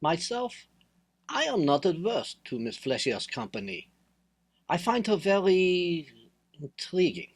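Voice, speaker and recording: An actor playing a suave, clever detective with a French accent, speaking with formal, precise politeness in a radio mystery style. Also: male voice, high-pitched, big pitch swings, unhurried, long pauses. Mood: courteous, intrigued. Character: suave, clever, courteous foreign male detective, unhurried and self-assured. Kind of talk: old-time radio mystery acting.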